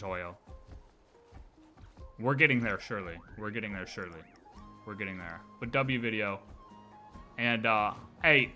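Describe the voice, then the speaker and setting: A man's voice: medium pitch, bright, forceful tone. A man chatting casually as a game livestreamer, emphatic and slangy, often repeating his phrases.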